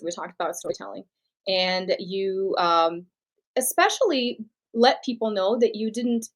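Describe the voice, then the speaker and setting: A woman from Brazil whose first language is Portuguese, a guest speaker explaining confidently and laughing easily in an interview. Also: medium pitch. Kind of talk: interview answers.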